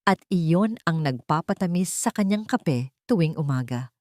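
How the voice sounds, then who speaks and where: A woman's voice: fairly low pitch, lively intonation, a little slow, a little mellow, dry recording, studio quality. A woman with a Filipino accent giving a scripted, dramatic narration of a story.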